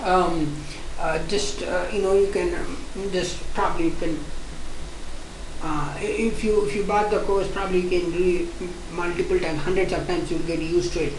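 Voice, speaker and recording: A woman with an Indian accent teaching an online software testing course, explaining quickly and repeating points with frequent restarts. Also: on the low side, steady tone, background noise. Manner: repetitive, restarts often, fast-paced explaining. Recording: echoey room.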